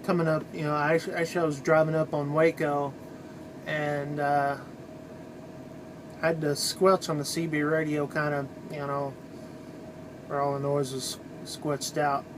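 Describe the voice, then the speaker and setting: A middle-aged American man, a truck driver, rambling casually in a halting, conversational way to his vlog. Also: a little high, little pitch movement, noisy background.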